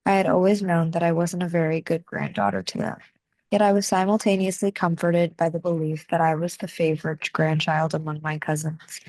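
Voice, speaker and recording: A young American woman reading her own reflective video essay in a measured, soft-spoken way, with deliberate pauses. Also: fairly low pitch, dry recording, rough recording. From United States. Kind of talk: scripted reflective narration.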